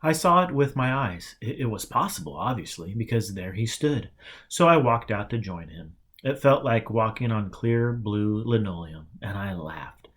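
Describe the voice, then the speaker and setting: A man's voice: lowish voice, animated, moderate pace, fairly bright tone, breathy edge. Man reading a story aloud. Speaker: audiobook reader. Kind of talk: storybook narration with acted dialogue.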